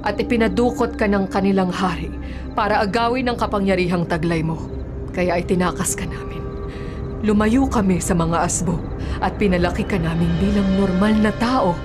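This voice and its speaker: Radio drama mother woman actor: middle-aged, mid-pitched, protective and measured, with prominent score behind. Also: steady tone, close to an everyday voice. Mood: solemn, earnest. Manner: earnest, measured explaining. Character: protective mother, secretly a dog shapeshifter, earnest. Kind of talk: Filipino radio drama acting. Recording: dramatic music under the voice.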